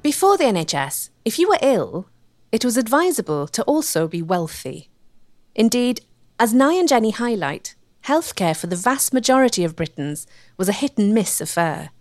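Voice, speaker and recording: Lady doing documentary voiceover, wry storyteller, lively intonation, dry studio sound. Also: fairly high pitch, normal speed, no echo. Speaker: documentary narrator. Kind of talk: scripted documentary narration.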